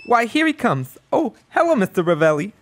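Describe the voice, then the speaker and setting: A woman playing a flustered young office secretary in a radio play, excitable and chirpy. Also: medium pitch, a little fast, slight background noise.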